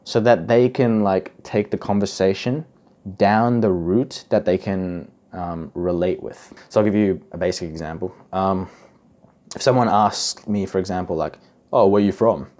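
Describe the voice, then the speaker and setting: A young man from Indonesia talks to camera in a loose, chatty way. His voice sits on the low side, and he keeps leaning on "like" and "right?" while he explains. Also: normal speed, darkish tone. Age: young adult. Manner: chatty, many fillers like "like" and "right?". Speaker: self-improvement YouTuber. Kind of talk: casual advice talk to camera.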